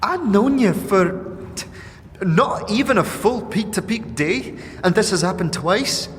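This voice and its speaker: A blunt young woman adventurer, put on by a man, sceptical and exasperated in an audio drama. The voice is high and swings widely in pitch, ringing with long reverb. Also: lively intonation, measured pace, a bit bright. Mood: exasperated, incredulous. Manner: incredulous, blunt, questioning. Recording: long reverb, clear ambient noise.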